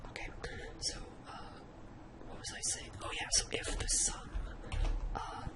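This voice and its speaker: Whispering ASMR spreadsheet tutor. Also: unhurried. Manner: informal, thinks aloud while demonstrating.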